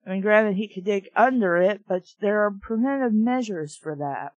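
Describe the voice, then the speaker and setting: A woman's voice: medium pitch, moderate pace. A woman reading a storybook aloud and breaking off into snarky, exasperated, profane commentary. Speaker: reader and book reviewer. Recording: dull, echoey room recording.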